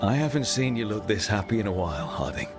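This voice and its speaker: A man playing an easygoing, encouraging, gently teasing adventuring hero in a video game, speaking naturally. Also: lowish voice, very animated, fast talker, creaky. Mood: tender, flirting. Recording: game music behind the voice. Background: game music.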